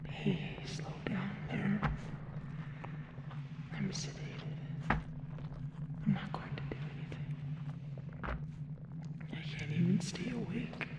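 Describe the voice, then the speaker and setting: Woman playing a slow, breathy alien in echoing reverb. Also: medium pitch. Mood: drowsy, complaining. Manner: slow, drowsy, teasing, breathy near-whisper. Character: giant sedated female alien, hungry, sly, seductively menacing. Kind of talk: audio roleplay. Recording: echoey reverberant tank with loud noise. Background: loud ambient noise.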